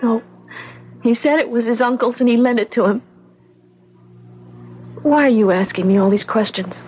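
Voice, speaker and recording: An actress in a 1970s radio drama playing a frightened, distraught young girl in a halting, childlike way. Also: on the high side, a little hiss or hum, close to an everyday voice. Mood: upset, defensive. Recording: dull, lo-fi 1970s radio recording.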